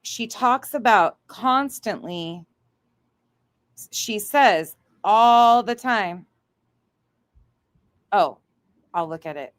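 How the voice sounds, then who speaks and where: A woman's voice: mid-range voice, lively intonation, drawn-out words, pauses often, no echo. A woman hosting a livestream, reacting and chatting to viewers in a blunt, indignant, sweary way. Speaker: livestream host.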